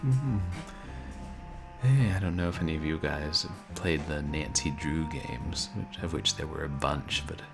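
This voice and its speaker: A man streaming a video game, chatting casually and soft-spoken with viewers, often chuckling. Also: very deep voice, measured pace, creaky. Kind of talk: casual let's-play chat. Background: game music.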